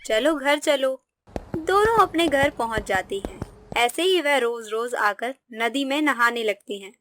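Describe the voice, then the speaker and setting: High-pitched woman narrating a story. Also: animated, unhurried, pushed and bright. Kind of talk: scripted narration of a moral story.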